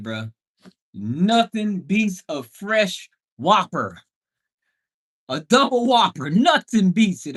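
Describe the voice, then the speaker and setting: A man with a very lively, swinging pitch chats casually as a livestream host. His delivery is slangy and playful, leaning hard on emphatic words. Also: husky. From Bahamian background. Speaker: livestream host and house flipper.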